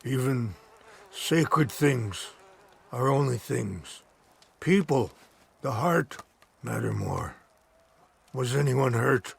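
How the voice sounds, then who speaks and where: An actor playing a solemn, gentle older chief in a cinematic video game, husky and measured in his speech. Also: mid-range voice, expressive, full character voice.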